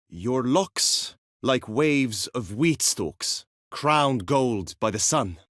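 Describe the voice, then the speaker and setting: Casting: proud, devoted young knight for a fantasy game. Courtly, earnest, very animated pitch, close dry recording. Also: male voice, extremely slow, no echo, exaggerated voice. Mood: tender, devoted. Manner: earnest, courtly, theatrical, archaic phrasing. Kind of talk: video game character acting, courtly formal speech.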